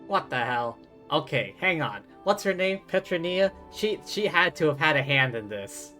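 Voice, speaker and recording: A woman's voice: low-pitched, sing-song, normal speed, fairly bright tone, faint music behind. A woman game streamer giving casual, playful, reactive livestream commentary. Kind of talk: casual livestream commentary, reading along and swearing.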